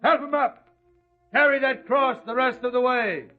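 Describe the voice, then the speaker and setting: An actor playing a harsh Roman officer in a radio drama, shouting orders. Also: male voice, very high-pitched, moderate pace. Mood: barking. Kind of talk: vintage radio drama acting. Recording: dull lo-fi radio drama recording.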